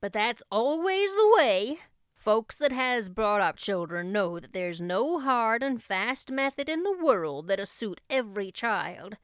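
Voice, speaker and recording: An opinionated older country gossip, played by a woman reading aloud. She is folksy, emphatic and plain-spoken, with a clear voice recorded close and dry, as in a storybook. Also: fairly high pitch, fairly bright tone, no room sound. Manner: emphatic, plain-spoken, rustic turns of phrase.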